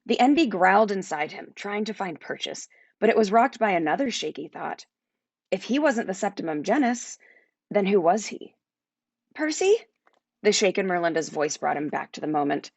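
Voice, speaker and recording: Animated woman reading a children's fantasy story. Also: medium pitch, a little fast, vocal fry, no room sound. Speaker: author reading own book aloud. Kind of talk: animated children's audiobook narration.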